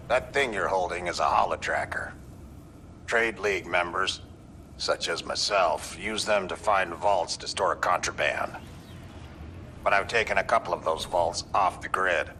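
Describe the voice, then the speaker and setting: A commanding smuggler, low-voiced with a hard, bright edge. He speaks with measured authority in a game's holographic message, with faint noise on it. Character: commanding male smuggler leaving a recorded message. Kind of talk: video game acting.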